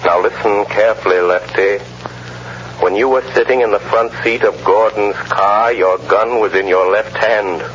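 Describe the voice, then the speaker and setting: Guy doing a deep creepy avenger from old radio, calm, taunting, muffled scratchy recording. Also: close to an everyday voice. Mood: mocking menace. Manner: deliberate, taunting, theatrical. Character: mysterious, menacing male avenger, calm and mocking. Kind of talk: 1930s-40s radio drama acting.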